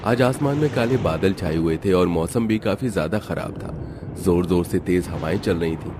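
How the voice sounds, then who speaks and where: Male narrator for a scripted tale, deep register, storytelling delivery. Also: moderate pace, background noise.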